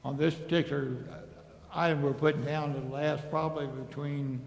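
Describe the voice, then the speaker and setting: A man's voice: on the high side, little pitch movement, normal speed, vocal fry. A man explaining technical details informally as a staff member at a public meeting in a large council chamber. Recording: echoey meeting hall, faint noise.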